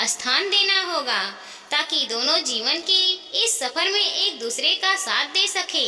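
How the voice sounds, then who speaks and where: A woman storyteller voicing a caring elderly widowed mother-in-law, earnest, motherly and persuasive in a moral story. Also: extremely high pitch. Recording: echoey, reverberant hall-like sound.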